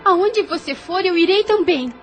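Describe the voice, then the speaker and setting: An insistent young sister. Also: female voice, very high voice, a little slow, soft background music. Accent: Brazilian Portuguese. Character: stubborn, worried young sister.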